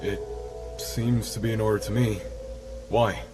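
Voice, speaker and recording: Captive clerk in a horror series male actor: lowish voice, anxious, defensive, halting, loud music behind. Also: flat delivery, lightly acted. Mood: nervous, guarded. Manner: hesitant, anxious. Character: nervous captive male files manager, defensive and confused. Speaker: files department manager. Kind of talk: horror web series acting.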